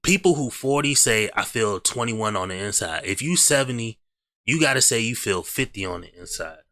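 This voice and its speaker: A man's voice: fairly low pitch, animated, moderate pace, sharp tone, no echo. A man hosting a reaction video, mocking what he watches in slangy, joking casual commentary.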